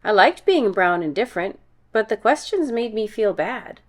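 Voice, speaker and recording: A woman narrates a children's picture book. Her delivery is animated and deliberate, with the expression of a storyteller reading to young listeners. Her pitch sits in a middle range for a woman. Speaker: read-aloud storyteller, music teacher.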